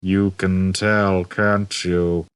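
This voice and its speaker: A man voicing a depressed, bored robot in an audiobook, droning slowly with gloomy sarcasm. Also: level tone, smooth. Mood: weary resentment. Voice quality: low, droning. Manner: slow, gloomy, sarcastic muttering. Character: hopelessly depressed, bored male humanoid robot. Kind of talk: audiobook character voice.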